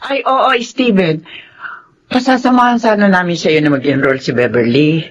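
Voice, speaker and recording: A woman's voice: on the low side, moderate pace, lo-fi sound, a little hiss or hum, close to an everyday voice. A woman playing a friendly middle-aged mother and aunt in a Filipino radio drama. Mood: cordial.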